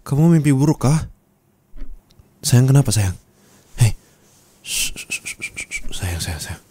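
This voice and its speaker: A man playing a gentle, caring husband in an ASMR roleplay, speaking in a tender, coaxing, reassuring way. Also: mid-range voice, animated, a little slow, breathy edge, no room sound, voice pushed up. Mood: worried, tender. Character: gentle caring young husband comforting his distressed wife.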